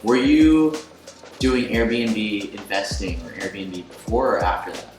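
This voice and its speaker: A man in his twenties hosting a casual sit-down interview, excitable, laughing often and full of fillers. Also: fairly low pitch, takes it slowly. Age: 20s. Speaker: host. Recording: echoey room with music behind.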